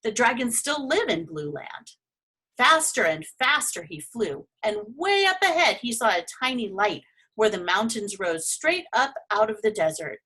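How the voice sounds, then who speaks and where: A woman reading a children's story as expressive narration, lingering on phrase endings for emphasis. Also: a little high, measured pace, slightly rough, rough recording. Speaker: storybook reader.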